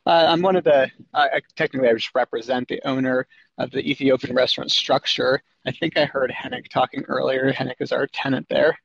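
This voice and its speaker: A man representing a building owner, apologetic and hurried while calling in from his phone. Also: mid-range voice, steady tone, gravelly, fairly bright tone, slight background noise. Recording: dull phone audio.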